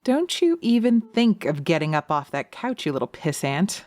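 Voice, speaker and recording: A woman's voice: on the low side, very animated, moderate pace, darkish tone, no echo. A woman voicing a scornful, domineering witch who taunts and commands in a hypnosis roleplay audio. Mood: angry contempt.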